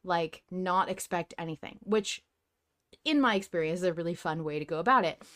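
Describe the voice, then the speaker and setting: A woman hosting a podcast in a casual fan discussion, talking in an animated, excitable way and acting out her reactions. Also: fairly low pitch, fairly quick. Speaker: podcast host.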